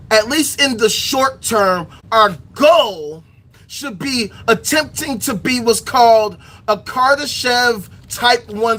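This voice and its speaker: A man's voice: very high-pitched, bright, forceful tone, rough recording. A man giving an impassioned solo rant to his viewers, firing off repeated rhetorical questions with bursts of profanity. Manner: reads aloud from a reference text. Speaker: political commentary streamer.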